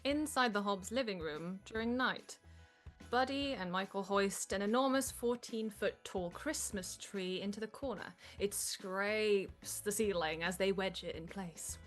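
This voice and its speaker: A woman's voice: medium pitch, a little slow, soft background music. A woman reading scripted stage directions as narrator at a comedic live table read.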